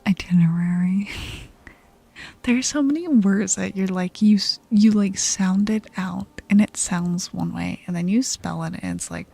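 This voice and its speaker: Slow, whispery woman doing relaxed ASMR chat. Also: medium pitch. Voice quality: soft, breathy, often whispered. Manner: relaxed, slow, intimate murmur. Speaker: ASMR streamer. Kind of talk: ASMR, casual chat with live viewers. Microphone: close ASMR microphone. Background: music.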